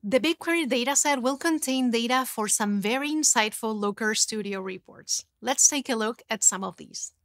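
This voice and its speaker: A woman in her thirties presenting a scripted technical explainer in a studio, in a clear, even, instructive way. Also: fairly high pitch, normal speed, few pauses, no room sound. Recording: dry studio sound.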